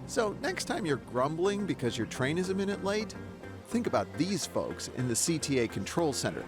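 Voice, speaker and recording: A man's voice: fairly high pitch, moderate pace. A man hosting and narrating a documentary in a wry, conversational way, sometimes asking interview questions. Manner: wry, conversational, lively delivery. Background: music under the narration.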